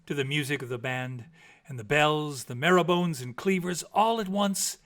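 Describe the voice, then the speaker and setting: A man reading a classic story aloud in a theatrical, expressive and deliberate literary style. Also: fairly high pitch. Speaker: storybook reader.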